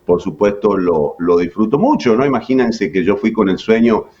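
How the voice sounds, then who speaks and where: Argentine man with a low voice. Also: sing-song, normal speed, lo-fi sound. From Jujuy, Argentina. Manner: chatty, many fillers. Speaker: voice actor guest. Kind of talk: relaxed interview storytelling.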